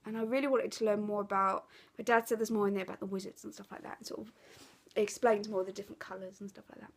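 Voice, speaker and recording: A woman's voice: medium pitch, little pitch movement, fast, creaky. A woman with an Essex accent and a blocked, stuffy nose, chatting casually to camera as a craft vlogger, rambling with lots of ums and self-corrections. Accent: Essex English. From England.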